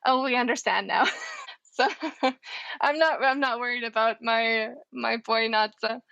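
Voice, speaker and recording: Brief: young woman, German native speaker, high bright voice, chatty guest, laughs easily. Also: fairly even intonation, measured pace, creaky, dry recording, highs cut off. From Canada. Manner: laughing, chatty, restarts often. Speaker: podcast guest, farmer. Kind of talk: casual chat.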